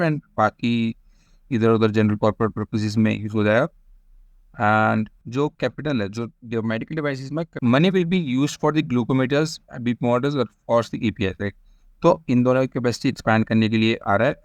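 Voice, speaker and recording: A man's voice: mid-range voice, dry recording. A man with an Indian accent giving an informal stock explainer, switching often between Hindi and English as he addresses viewers familiarly. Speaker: stock analysis presenter. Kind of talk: informal explainer commentary with screen share.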